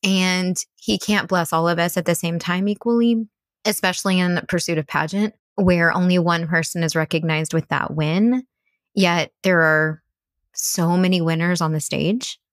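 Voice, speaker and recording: Casting: female coach, American, slightly low voice, earnest motivational talk in a dry, close recording. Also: fairly even intonation, normal speed, dry recording. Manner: encouraging, earnest. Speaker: mental coach, podcast guest. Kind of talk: conversational motivational advice.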